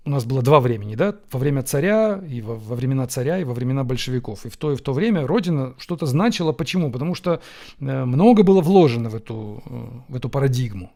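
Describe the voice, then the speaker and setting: Reflective man with a mellow voice. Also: mid-range voice. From Ukraine. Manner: reflective, with frequent pauses and fillers. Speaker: host answering viewer questions. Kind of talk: Q&A monologue. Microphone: camera setup.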